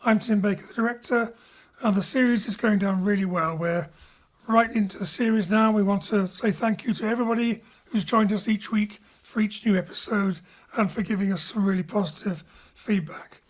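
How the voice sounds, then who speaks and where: A woman with a mid-pitched voice hosts a post-show chat as director. She asks reflective questions that ramble a little as she thinks them through. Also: fairly even intonation, normal speed. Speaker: director and host.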